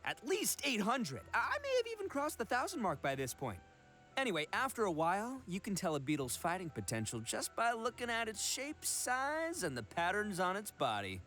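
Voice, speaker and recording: A goofy, boastful young man in an anime game. His voice sits high and leaps around with theatrical swagger. The talk is slangy and full of bragging. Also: wildly swooping pitch, moderate pace, bright, forceful tone, faint music behind, full character voice. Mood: boastful, enthusiastic. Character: brash, boastful young man, goofy self-proclaimed beetle expert.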